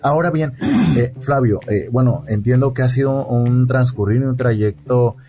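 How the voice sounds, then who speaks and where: A man with a Mexican accent hosting a conversational interview, repeating words haltingly as he forms his questions. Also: medium pitch, mellow, faint music behind. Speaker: interviewer, host.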